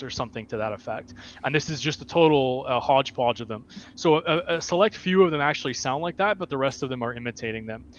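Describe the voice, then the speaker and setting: A man's voice: medium pitch, fairly bright tone. A man hosting a casual livestream chat, joking in a mock-scholarly manner. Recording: dull lo-fi mic with faint hiss.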